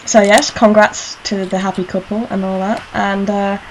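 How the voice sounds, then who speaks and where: Mid-pitched woman hosting, faint noise. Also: flat delivery, normal speed. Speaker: radio show host. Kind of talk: casual chat.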